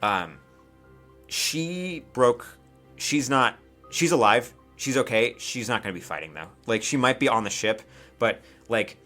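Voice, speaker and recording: A man casually improvising narration as a game master, informal and halting, with frequent asides. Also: medium pitch, expressive, sharp tone, soft background music.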